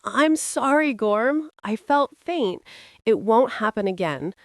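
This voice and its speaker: A woman's voice: fairly high pitch, lively intonation, takes it slowly, no echo, studio quality. A woman voicing a young tavern maid in an audiobook, tender, vulnerable and emotional. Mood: apologetic. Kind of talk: audiobook character acting.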